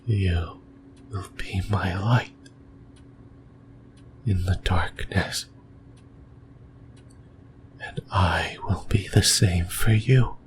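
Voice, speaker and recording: A man playing a possessive, lonely sleep paralysis demon in a creepy ASMR roleplay, whispering breathily in slow, halting phrases. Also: low voice, a little hiss or hum. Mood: seductive, coaxing. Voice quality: breathy, mostly voiceless whisper. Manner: slow, deliberate, halting phrases with long pauses.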